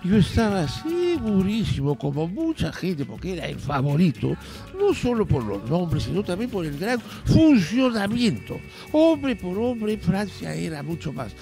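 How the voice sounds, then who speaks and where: A man's voice: high-pitched, big pitch swings, measured pace, gravelly, mellow, faint music behind, heavily acted. A man playing a dejected fan of the French team, complaining in mock-mournful tones. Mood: glum. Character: dejected middle-aged male French football fan.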